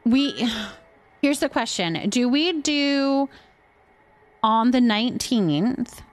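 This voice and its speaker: Woman, slightly high voice, casual streamer chat, talkative, thinking aloud. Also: animated, extremely slow, no echo. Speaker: live stream host.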